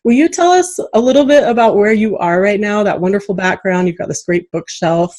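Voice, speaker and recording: A woman's voice: mid-range voice, fairly quick, a little mellow. A woman hosting a casual podcast interview in an enthusiastic, effusive way. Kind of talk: casual friendly video interview. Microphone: USB desktop microphone.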